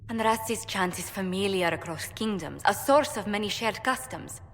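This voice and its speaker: An actress playing a courteous young female ambassador in a video game, speaking in a formal, measured, diplomatic way. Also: mid-range voice, close to an everyday voice. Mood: poised, explaining. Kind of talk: video game dialogue acting. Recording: noisy, long hall reverb.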